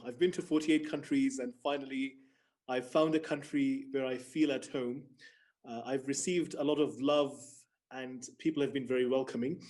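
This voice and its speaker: Earnest, articulate man with mid-pitched voice. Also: a little mellow. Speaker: journalist, learner on the show. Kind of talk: unscripted personal talk to viewers.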